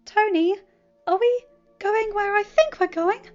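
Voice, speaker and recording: Woman voicing an excited, high-pitched teenage boy. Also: unhurried, lo-fi sound. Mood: excited, squealing. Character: excited teenage boy, eager, affectionate superhero kid.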